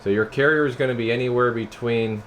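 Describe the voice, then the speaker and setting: A man's voice: mid-range voice, fast talker, a bit bright, slight background noise. A man giving a casual hands-on product demo in an informal, rambling way, talking to viewers as guys. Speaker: radio repairer and product reviewer.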